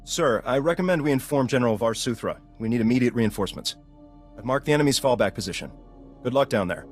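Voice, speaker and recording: An actor playing a loyal, shaken but determined field agent in a video game, earnest and urgent. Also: male voice, mid-range voice, expressive, fast talker, close to an everyday voice. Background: music.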